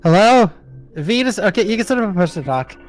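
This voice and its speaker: A man's voice: sits high, lively intonation, soft background music. A man hosting a game stream, teasing his co-player in casual, joking voice-chat banter. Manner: teasing, playful, quick to joke. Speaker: streamer host.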